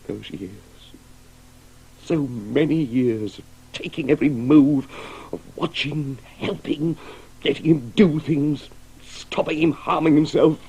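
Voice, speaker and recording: An actor playing a weary, anguished male carer in a 1980s radio drama, with a husky, creaky voice. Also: a little high, slow, mellow, exaggerated voice, rough recording. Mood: weary, bitter.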